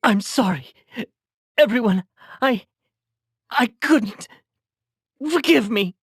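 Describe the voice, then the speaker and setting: A man playing a troubled, gallant young knight in a video game, earnest and formal, with a husky, breathy, halting delivery. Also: very high voice, lively intonation, dry recording, clean studio sound, exaggerated voice. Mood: anguished.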